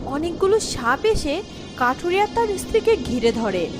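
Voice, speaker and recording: High, animated woman narrating a fairy tale. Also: normal speed, over music.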